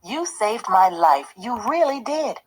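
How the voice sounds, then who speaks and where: A woman's voice: on the high side, big pitch swings, slow pace, exaggerated voice. A woman voicing a scared, helpless older brother in a storybook, a boy pleading and short of breath. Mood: grateful, relieved. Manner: gasping, pleading.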